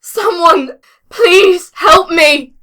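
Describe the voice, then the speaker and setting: A woman's voice: mid-range voice, unhurried, darkish tone, natural voice. A woman voicing a weary, injured young female survivor in a story reading, plaintive and earnest. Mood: desperate.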